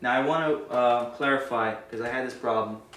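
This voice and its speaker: A man's voice: medium pitch, brisk, fairly bright tone. A man hosting a gear review video, explaining settings in an informal, hesitant, casual way. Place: indoor room with guitar amp. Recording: roomy, distant mic with faint noise.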